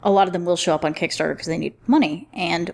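A woman's voice: fairly low pitch, fast talker, gravelly, a little mellow. A woman hosting a casual roundtable chat in an informal, jokey, slangy way. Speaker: podcast roundtable host.

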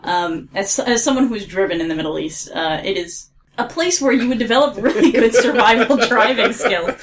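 A woman's voice: on the high side, normal speed, crowd noise. A woman, co-creator of an audio drama, chatting casually on a podcast, rambling and correcting herself as she talks and laughing often.

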